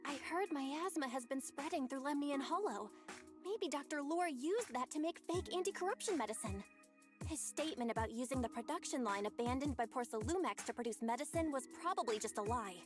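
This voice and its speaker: Game role: decisive young sleuth, high-pitched, music clearly under her. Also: animated. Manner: brisk and decisive. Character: quick-thinking young woman investigator. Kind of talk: video game voice acting.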